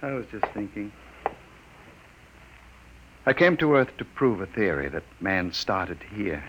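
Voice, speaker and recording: A desperate imprisoned scientist. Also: male voice, fairly low pitch, big pitch swings, a little slow, highs cut off, slight background noise. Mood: despairing. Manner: shouting in fury, later quiet and despairing. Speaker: lead actor. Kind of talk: 1950s radio drama acting.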